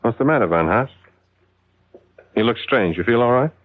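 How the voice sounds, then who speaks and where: An actor playing a brusque, shady schemer in a 1970s radio drama, speaking in an offhand way. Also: male voice, mid-range voice, animated, moderate pace, dry recording, natural voice. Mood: smug, offhand. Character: brusque, shady male accomplice, dismissive schemer. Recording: tinny vintage radio broadcast recording.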